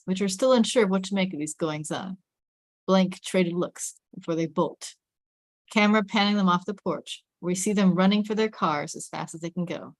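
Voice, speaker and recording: A woman's voice: on the low side, no room sound. A woman reading screenplay stage directions as scripted narration.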